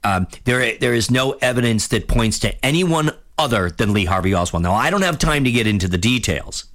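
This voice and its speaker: A man of fifty hosting a radio talk show, giving a blunt, rambling opinion monologue with many restarts, his voice getting hoarse. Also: medium pitch, animated, brisk, a bit bright. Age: 50s. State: losing his voice.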